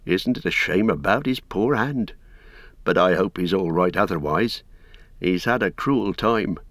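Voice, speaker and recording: A man's voice: low-pitched, unhurried, a bit bright, no room sound. A man voicing a loyal young male hobbit servant, eager and overwhelmed with joy. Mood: concerned.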